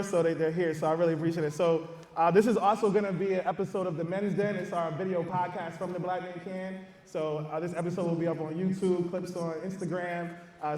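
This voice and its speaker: A man's voice: sits high, reverberant, in a large hall. A man hosting a live panel and hyping up each guest as he introduces them. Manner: hype, energetic introductions. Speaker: podcast host and panel moderator.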